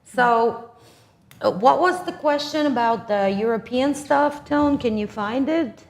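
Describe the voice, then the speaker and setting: A woman's voice: fairly high pitch, unhurried. Emphatic woman chatting with opinions. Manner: emphatic, assertive. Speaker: talk show host and competition judge. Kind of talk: casual opinionated live chat.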